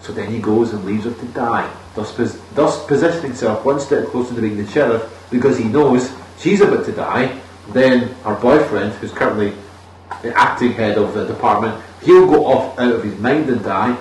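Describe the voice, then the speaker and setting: A man's voice: mid-range voice, normal speed, slight background noise. Sarcastic man, roomy distant sound. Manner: dry, sarcastic, laughs at his own jokes. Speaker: podcast co-host. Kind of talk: casual film review chat. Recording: roomy, distant mic, faint ambience.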